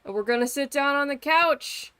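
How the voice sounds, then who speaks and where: A woman's voice: high voice, normal speed, pushed and bright, no echo. A woman giving casual, sweary, blunt and joking live commentary as a game streamer.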